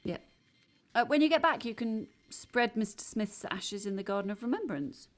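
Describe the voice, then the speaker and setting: An actress playing a brisk, dismissive office boss in an audio drama. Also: female voice, fairly high pitch, lively intonation, heavy background noise, natural voice. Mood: businesslike.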